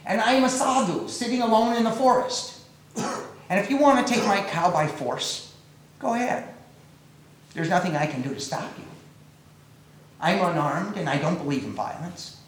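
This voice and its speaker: A storyteller voicing a calm, gentle old male sage who speaks softly and steadily, in a very echoey room. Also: medium pitch, moderate pace, pauses often, natural voice. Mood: calm, firm.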